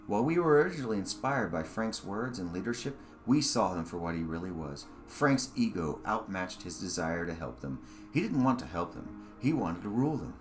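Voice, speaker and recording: A man's voice: low voice, big pitch swings, brisk, few pauses, soft background music. A man reading his own short story aloud as scripted narration.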